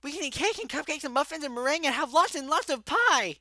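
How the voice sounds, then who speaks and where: A woman's voice: high-pitched, animated, sharp tone, no room sound, studio quality, full character voice. Woman voicing a hyper party-pony stallion. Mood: gushing. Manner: rapid breathless rambling lists. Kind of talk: fan audiobook voice acting.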